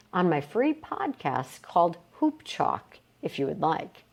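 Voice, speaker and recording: A woman with a slightly low voice narrates a self-help audiobook. She goes very slowly and deliberately, with lively swings in intonation. Her delivery is emphatic and instructive, like coaching. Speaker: audiobook reader. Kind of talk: scripted narration, reading a motivational self-help book aloud.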